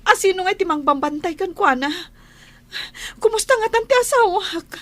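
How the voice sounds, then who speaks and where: A woman acts a devoted, anxious young wife in a radio drama. Her high voice is earnest and pleading, yet determined. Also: expressive, normal speed, a bit bright.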